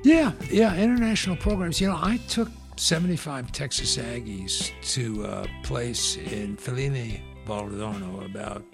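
An older man with a gravelly, husky voice, telling anecdotes casually as a podcast co-host. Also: mid-range voice, expressive, unhurried, few pauses, over music.

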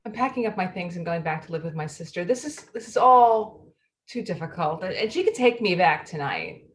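A woman playing an anxious, slightly odd middle-aged woman who speaks haltingly in a play reading. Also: lowish voice, expressive, natural voice. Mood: distressed. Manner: halting, repeating words, fretful.

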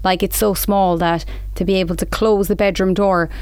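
Smooth-voiced young woman chatting. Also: medium pitch, steady tone, moderate pace, darkish tone, dry recording. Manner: chatty, laughs easily. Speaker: podcast co-host. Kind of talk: casual chat.

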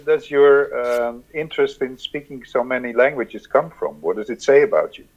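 A man's voice: medium pitch, normal speed. A man with a Dutch accent hosting a podcast, introducing and interviewing a guest over an internet connection. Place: at home, remote internet call. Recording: dull, lo-fi remote internet connection.

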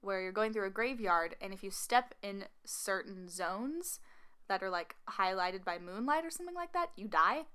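A young woman co-hosting a casual podcast, chatty and animated with frequent fillers and laughs. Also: medium pitch, normal speed, smooth. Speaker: podcast co-host. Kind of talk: casual chat.

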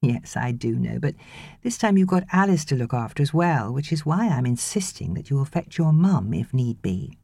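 A British woman voicing a brisk, fussing young mother in an audiobook. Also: a bass voice, very animated, gravelly, warm tone, dry recording, studio quality, heavily acted. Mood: careful, fussing. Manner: brisk, instructing.

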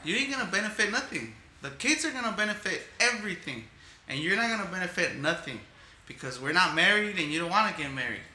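Bright-voiced man in his thirties. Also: sits high, expressive, fast, echoey room, rough recording. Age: 30s. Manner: boastful, profane, repeats himself. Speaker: podcast co-host. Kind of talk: casual comedic banter.

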